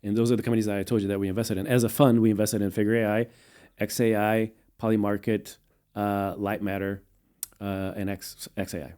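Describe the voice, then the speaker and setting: Guest man, low and mellow, measured and halting, raised in Portugal. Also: level tone. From United States, raised in Portugal. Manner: measured, many fillers and restarts. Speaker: guest, venture capitalist. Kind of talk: casual interview answers.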